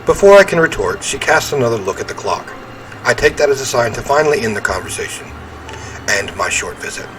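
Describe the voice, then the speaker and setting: A man's voice: mid-range voice, big pitch swings, a little fast, noisy background. A man reading a visual novel aloud as a game streamer, mixing dramatic narration with wry casual asides. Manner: throat-clearing, wry asides.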